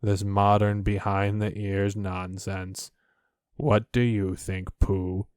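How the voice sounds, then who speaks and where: A man voicing a gloomy, self-pitying old donkey who grumbles slowly and low. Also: level tone, a little mellow, clear, resonant tone, no echo, clean studio sound.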